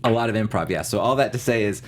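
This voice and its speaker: Middle-aged man, deep-ish speaking voice, loose chatty interview guest, frequent restarts, faint hum under the voice. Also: fast talker. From Southern California. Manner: chatty, laughs often, many restarts. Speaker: actor guest. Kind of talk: casual interview chat.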